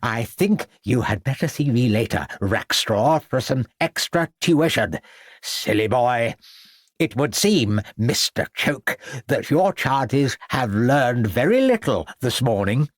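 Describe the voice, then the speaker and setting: A man's voice: mid-range voice, very animated, slow, no echo, clean studio sound. A man voicing a fussy, flustered elderly headmaster who stammers and speaks pompously.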